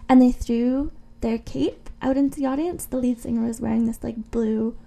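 A woman's voice: a little high, fairly even intonation, normal speed, creaky, dark tone. A woman co-hosting a radio show, chatting casually and informally about music between songs.

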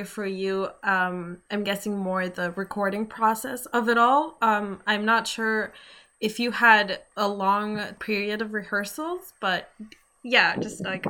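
A woman's voice: mid-range voice, bright, forceful tone. A woman hosting a casual interview, asking her guests questions in a conversational style. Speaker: host, interviewer.

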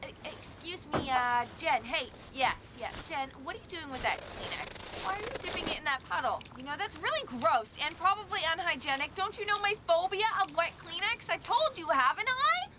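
A woman's voice: extremely high pitch, lively intonation, a little fast, hardly any pauses, noisy background. A woman playing a chatty, squeamish, teasing and dramatic young woman in a comedy drama. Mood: nervous, indignant. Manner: dramatic, rambling, exclamatory. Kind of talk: two-hander comedy drama dialogue.

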